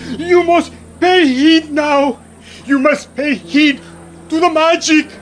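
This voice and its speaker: A man's voice: very high-pitched, steady tone, slight background noise. A man acting an old, desperate peasant father in a radio drama, pleading and chanting imploringly. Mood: frantic pleading. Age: older. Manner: imploring, slow, incantatory.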